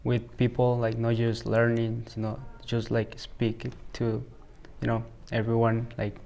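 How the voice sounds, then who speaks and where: A man's voice: medium pitch, monotone, vocal fry, mellow, slight background noise. Teenage boy with a Salvadoran accent. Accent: Salvadoran Spanish. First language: Spanish. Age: teens. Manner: halting, many fillers like "like" and "you know". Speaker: high school student. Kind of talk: interview answers. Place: school, indoors.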